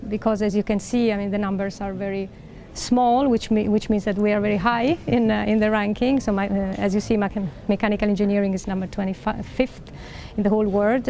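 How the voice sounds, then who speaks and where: Brief: middle-aged woman professor from Italy, mellow, creaky voice, hesitant delivery, faint room noise. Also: mid-range voice, flat delivery, fairly quick. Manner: hesitant, many fillers and restarts. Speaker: professor and dean. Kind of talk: answering questions on a panel. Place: large library hall indoors.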